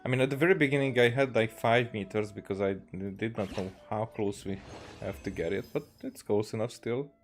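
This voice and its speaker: Guy with a lowish voice streaming a game, casual halting chatter, music playing in the background. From Hungary. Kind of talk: casual gameplay commentary.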